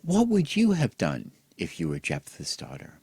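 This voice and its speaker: A man hosting and telling a mock children's bedtime story in an exaggerated gentle, sing-song way, as if speaking to small children. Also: fairly low pitch, huge pitch swings, normal speed, slightly rough, no room sound, studio quality. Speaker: host and storyteller.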